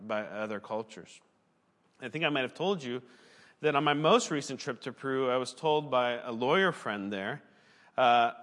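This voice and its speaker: A man's voice: mid-range voice, a bit bright. Guy preaching a sermon, earnest and chatty, fixes his words as he goes, big echoey church sound. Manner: earnest, conversational preaching with occasional self-corrections. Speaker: pastor, former missionary.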